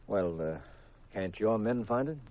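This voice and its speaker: An actor playing a cool, self-assured private detective in a 1950s radio drama, composed and clipped on a vintage recording. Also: male voice, fairly low pitch, animated, unhurried, highs cut off. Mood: attentive, businesslike. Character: cool, self-assured married male private detective, narrating his case.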